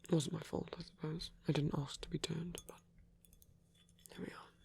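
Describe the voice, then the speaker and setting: A performer acts out a devout, caring male vampire priest in an intimate ASMR roleplay. His voice is very breathy and often whispered, with a creaky edge. He speaks gently and thoughtfully, in measured, slightly formal phrases at a medium pitch. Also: little pitch movement, exaggerated voice. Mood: gentle, reserved.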